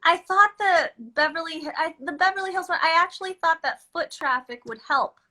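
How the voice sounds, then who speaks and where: A woman in her thirties from the Philippines speaks with a high, bright voice. She chats loosely as an interview guest, laughing often. She talks about her own business and trips over her own sentences. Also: moderate pace. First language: Filipino. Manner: chatty, laughs often, many restarts. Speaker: guest, beauty business owner. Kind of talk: casual interview chat.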